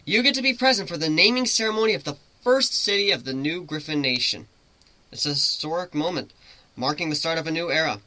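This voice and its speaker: A man's voice: fairly high pitch, animated, a little fast, bright, forceful tone, no echo, voice pushed up, low-quality recording. A man voicing a cocky, joking male griffin who teases and rambles informally in a dramatic story reading. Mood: teasing. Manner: informal, teasing, rambling explanations.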